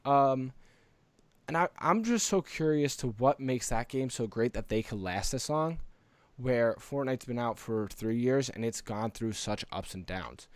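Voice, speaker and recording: A man's voice: medium pitch, expressive, a little slow, no room sound. A man co-hosting a casual gaming podcast in an earnest, rambling way, close to the microphone. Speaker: podcast co-host.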